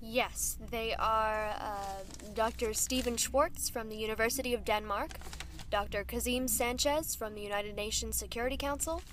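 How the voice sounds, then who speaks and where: A polished television anchor keeps a live broadcast moving with calm professionalism, as a woman acts her out in an audio drama. Her voice sits a little high, and she speaks in a clear, polite newsroom manner. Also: normal speed, slight background noise, close to an everyday voice. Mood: composed. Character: composed female news anchor, adult. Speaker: broadcast reporter.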